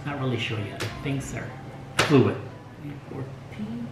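A man's voice: medium pitch, big pitch swings, roomy sound, over loud music. An older man talking casually to the camera from his dining room table about planning an RV road trip, opinionated and chuckling now and then. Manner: slow, opinionated, chuckles as he talks. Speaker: RV travel vlogger. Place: home dining room, indoors. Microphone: fixed camera across the table.

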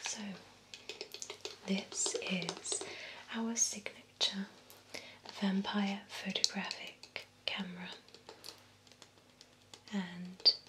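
A young woman in her twenties whispers softly and attentively up close, role-playing a courteous vampire salon attendant in ASMR style. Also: medium pitch, steady tone, low-quality recording. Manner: soft, unhurried, attentive whisper. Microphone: close binaural microphone. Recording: close binaural whisper with faint hiss.

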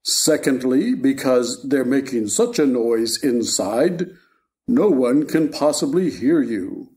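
Frog footman for a storybook play, male actor: dull-witted, deadpan, mellow tone, plodding. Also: medium pitch, slow. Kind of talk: stage play reading.

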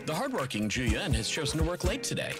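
A woman's voice: sits low, sing-song, fast. A woman playing a storytelling narrator in scripted narration for an anime game trailer. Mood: narrating. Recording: trailer audio under loud music.

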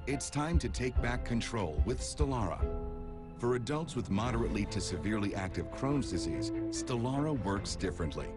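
A man's voice: on the low side, lively intonation, normal speed. A man reading scripted narration as a drug commercial announcer. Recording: loud music behind.

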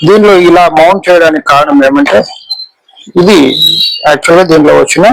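A man gives an informal, hands-on repair explanation, haltingly and repetitively, mixing in English technical terms. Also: fairly high pitch, rough recording. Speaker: electronics repair demonstrator. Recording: clear background noise with clicks.

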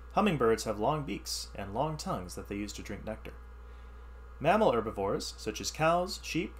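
A man reading a science ebook aloud as a teacher, pausing to explain the text in simpler words. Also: mid-range voice, slight background noise. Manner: reads text then explains in plain words. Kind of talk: read-aloud lesson with asides.

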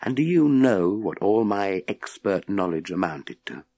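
A wry, authoritative former spaceman in a storybook reading, played by a male narrator. He is weary of his titles and speaks slowly and intensely. His fairly low voice moves with lively emphasis. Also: no room sound.

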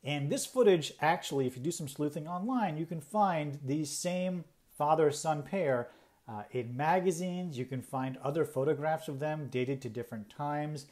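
A man's voice: fairly high pitch, moderate pace, darkish tone. A man presenting a conversational explainer, talking through points in an explanatory way and stressing key words.

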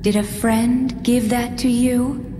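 Serene game goddess: regal, extremely unhurried, drenched in hall reverb. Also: female voice, on the high side, steady tone. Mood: serene, knowing. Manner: measured, formal. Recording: long hall reverb, noisy ambience.

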